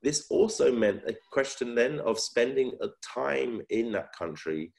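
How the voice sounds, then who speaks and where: A man's voice: mid-range voice, lively intonation, a little slow, vocal fry, darkish tone, rough recording. A man speaking as a filmmaker on an online panel, reflecting in a thoughtful, searching way and rephrasing as he goes. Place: indoor room on a video call. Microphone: video call.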